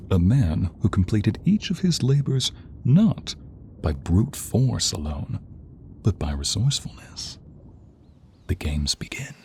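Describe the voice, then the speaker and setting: A man narrating a scripted ASMR story in a dramatic, hushed manner that often drops into a whisper. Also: fairly low pitch. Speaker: narrator of an audio roleplay.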